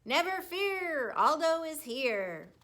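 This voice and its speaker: A woman acts out a friendly, big-hearted school janitor from a storybook. He is chummy and folksy, full of exclamations, in a bright voice pitched fairly high for the part. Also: very animated, slow, exaggerated voice. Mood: hearty. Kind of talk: children's book read aloud.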